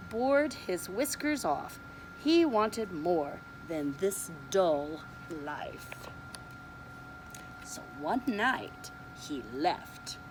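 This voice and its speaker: Slow, expressive woman reading to kids. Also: fairly high pitch, noisy background. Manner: slow, expressive read-aloud, showing pictures. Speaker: preschool teacher. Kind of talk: reading a picture book aloud to children.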